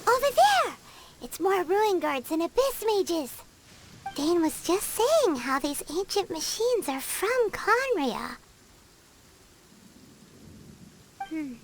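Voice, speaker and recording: A tiny, childlike fairy sidekick from a video game. The voice is squeaky and extremely high, with lively swings in pitch. The delivery is unhurried, inquisitive and excitable. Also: female voice, slight background noise, heavily acted. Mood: curious, alert. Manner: talks about self in third person, inquisitive. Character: small, childlike floating fairy companion, excitable and curious.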